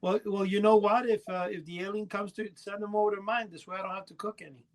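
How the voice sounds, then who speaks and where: A male co-host with a notably high-pitched voice talks casually. His storytelling rambles and halts, often starting over mid-thought. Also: flat delivery, fast talker. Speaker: podcast co-host. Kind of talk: casual chat.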